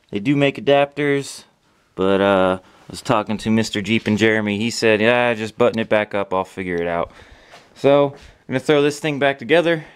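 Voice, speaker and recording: A man's voice: medium pitch, clear, resonant tone. A young man chatting casually in a laid-back, slangy, sweary way as he hosts a car repair vlog from his garage. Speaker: host of a DIY car repair channel. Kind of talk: casual vlog chat while working. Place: garage workshop with open door.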